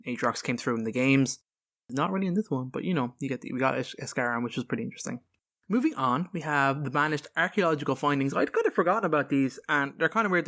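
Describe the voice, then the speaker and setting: A man with a medium-pitched voice talks as a podcast co-host. He explains video game lore casually, in an informal and rambling style. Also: pushed and bright.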